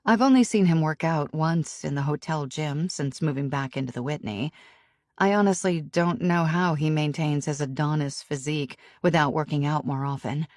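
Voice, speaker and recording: A woman narrating a romance novel in the first person, in an intimate, even storytelling manner. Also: deep voice, normal speed, no echo, studio quality. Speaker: audiobook narrator.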